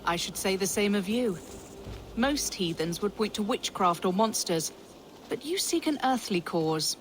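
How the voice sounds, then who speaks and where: A woman's voice: mid-range voice, animated, unhurried, slight background noise, close to an everyday voice, rough recording. Actress as grave medieval Saxon woman. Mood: assured. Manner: grave, formal archaic phrasing. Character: earnest Saxon woman wary of wicked beasts. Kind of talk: dramatic video game acting.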